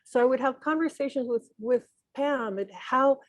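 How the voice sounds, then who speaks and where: A woman from Peru giving an earnest, reflective tribute at an online memorial, pausing and restarting as she speaks. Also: high voice, no echo. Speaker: journalist and consultant speaking at a memorial. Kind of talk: spoken tribute on a video call. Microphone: video call microphone.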